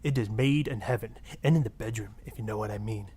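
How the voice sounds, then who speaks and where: A man's voice: lowish voice, fast talker, darkish tone, husky. A man voicing a suave, sleazy marriage guru with a Spanish accent, florid, seductive and pompous, in a satirical game radio style. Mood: oily, reverent. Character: suave, sleazy Latin male marriage guru, grandly passionate.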